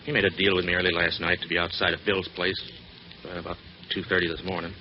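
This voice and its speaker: Casting: actor as crooked cabbie, very deep male voice, rough street talk, dull old radio sound. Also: level tone, fast, close to an everyday voice. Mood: cornered, evasive. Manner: rough colloquial speech, evasive. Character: rough, shady crooked cab driver. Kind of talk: 1940s radio acting. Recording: dull, faintly noisy vintage radio recording.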